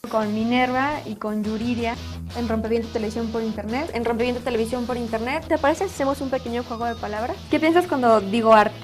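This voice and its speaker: A woman's voice: fairly high pitch, fast talker. A woman hosting a show intro with greetings and short questions. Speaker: show host. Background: music.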